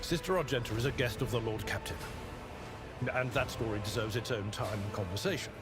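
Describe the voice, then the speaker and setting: A man's voice: mid-range voice, a little fast, natural voice. A man playing an earnest, formal ship's officer in a video game, speaking with urgent diplomacy. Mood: urgent, pleading. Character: earnest, formal male officer of a voidship's retinue. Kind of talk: dramatic video game acting. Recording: voice under loud music.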